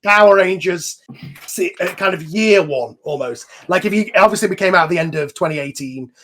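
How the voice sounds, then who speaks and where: A man's voice: high voice, a little fast, a bit bright. A man hosting a casual online group chat, rambling informally and swearing now and then. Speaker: host and series creator. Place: online voice call.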